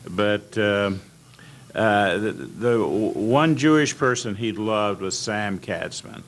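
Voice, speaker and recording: Halting older American man, noisy recording. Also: on the low side, lively intonation. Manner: halting, rambling, hesitant anecdote telling. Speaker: panel guest at old-time radio convention. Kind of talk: informal panel storytelling, reminiscing. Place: indoor convention panel room. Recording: clearly noisy convention room recording.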